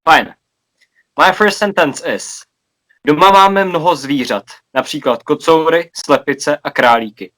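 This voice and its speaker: A man from Czechia speaks slowly and with care in a casual online conversation. His voice sits a little high for a man. He patiently repeats words and explains them again when he is not understood. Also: a bit bright. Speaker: language exchange participant. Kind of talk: casual video call chat.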